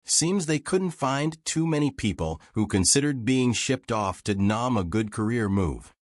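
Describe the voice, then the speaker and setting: A man's voice: medium pitch, lively intonation, unhurried, no room sound, studio quality, close to an everyday voice. A man voicing a frank, wry old American war reporter reminiscing in folksy, self-deprecating audiobook dialogue.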